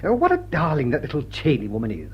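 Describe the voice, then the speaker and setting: An actor playing a jolly, enthusiastic upper-class gentleman, an amiable old-boy type in a period radio drama, on a muffled recording. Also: medium pitch, huge pitch swings, fast, slightly rough, exaggerated voice. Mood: hearty, admiring. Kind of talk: period drawing-room radio drama acting. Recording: dull, muffled lo-fi sound.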